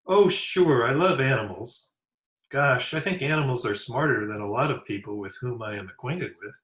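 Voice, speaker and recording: An eager, good-natured, none-too-bright schoolboy, acted by a man in an old radio comedy. He talks in a folksy gee-whiz way, with clear room echo around the voice. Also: medium pitch, expressive, normal speed, close to an everyday voice. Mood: eager, friendly. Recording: echoey room over remote call.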